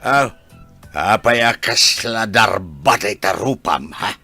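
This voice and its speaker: Lalaki nga agakem a lakay, naraspa ken natadem. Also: male voice, on the low side, expressive, slow pace, creaky, pushed and bright, soft background music, heavily acted. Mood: scolding. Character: gruff, grumbling elderly village husband and father. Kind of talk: radio drama acting.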